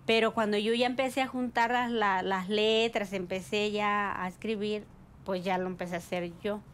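Woman recalling in a halting way. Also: medium pitch, fairly even intonation, a little hiss or hum. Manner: halting, with restarts and pauses. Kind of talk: interview recollection.

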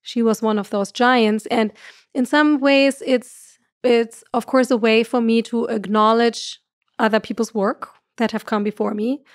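Podcast guest, German-accented woman, middle-aged, expressive and earnest, dead-dry studio sound. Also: fairly high pitch, measured pace, no room sound. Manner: earnest, gestures a lot, laughs often. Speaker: guest astrophysicist. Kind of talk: podcast conversation. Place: room with curtains. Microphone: studio mic on boom arm.

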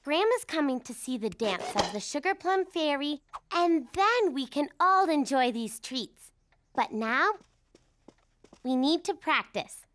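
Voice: high-pitched, very animated, measured pace, a bit bright, slight background noise, heavily acted. An actor voicing a bossy, organised young girl bunny in a children's cartoon, speaking in a prim, instructive way. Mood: encouraging, eager. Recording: music bed behind dialogue.

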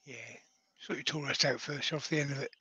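A man's voice: fairly high pitch, fairly even intonation, fairly quick, pushed and bright, slightly rough, no echo. A man co-hosting a live stream, reading out viewer comments in an easygoing, friendly way over a muffled remote line. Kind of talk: casual chat.

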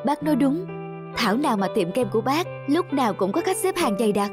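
A woman's voice: sits high, very animated, a little fast. A woman voicing a polite, respectful young man in a cartoon, speaking deferentially. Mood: grateful. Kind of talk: cartoon dubbing. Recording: music behind the voice.

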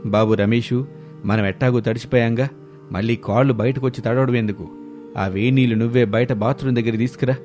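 An older village father-in-law put on by a man narrating. He is homely and gently commanding, pitched down low in a rustic storybook manner. Music plays behind him. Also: fairly even intonation, fairly quick, lightly acted. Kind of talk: storybook character voice.

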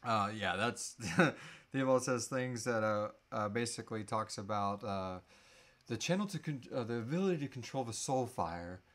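A man in his thirties hosting a casual live question-and-answer chat, often halting and trailing off mid-thought. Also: lowish voice, animated, a bit bright. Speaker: host answering audience questions. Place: small indoor room.

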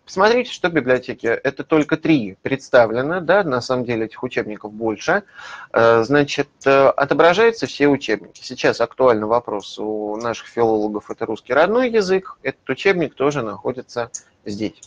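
A man's voice: medium pitch, lively intonation, a little fast. Man giving a hesitant webinar walkthrough. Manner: explanatory, many hesitation fillers. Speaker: publisher's lead methodologist. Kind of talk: webinar walkthrough of a digital library. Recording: slightly dull lo-fi webinar audio.

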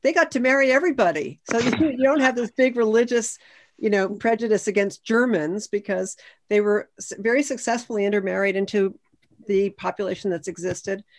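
A woman's voice: mid-range voice, fairly quick. Emphatic woman explaining history. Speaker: historian panelist. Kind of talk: explanatory panel discussion. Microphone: video call.

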